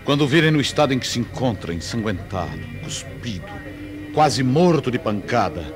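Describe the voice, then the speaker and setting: A man's voice: medium pitch, animated, normal speed, music in the background, close to an everyday voice. A man playing a troubled, commanding Roman governor in a radio drama, grave and dramatic. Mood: grave desperation. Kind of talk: old-fashioned radio drama acting.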